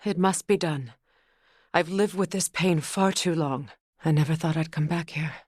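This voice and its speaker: A woman's voice: low voice, darkish tone, husky, dry recording. A proud witch goddess from a video game, recorded close and dry. She speaks formally and earnestly, always guarded, like someone used to command. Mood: sorrowful, subdued. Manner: measured, formal, earnest delivery. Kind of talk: video game character dialogue.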